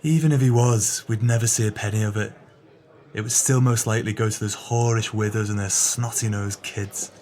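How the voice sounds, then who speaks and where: A man's voice: fairly low pitch, fairly even intonation, a little fast, darkish tone, close to an everyday voice. An actor playing a greedy, sly male swindler in an audio drama, with pompous, wordy, formal speech. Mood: bitter, greedy.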